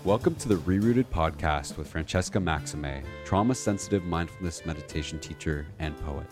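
Deep-voiced man announcing over guitar. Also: moderate pace. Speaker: show announcer. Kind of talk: scripted podcast intro. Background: guitar music.

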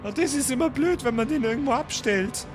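A man plays along in a tabletop game. He speaks in casual, improvised chat. Soft music sits faintly behind him. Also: very high voice, animated, a little fast. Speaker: tabletop role-play player.

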